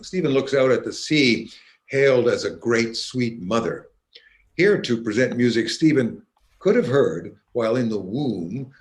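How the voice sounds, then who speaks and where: A man hosting a concert, introducing performers and interviewing them in a measured, courteous presenter's manner. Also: medium pitch. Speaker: concert host.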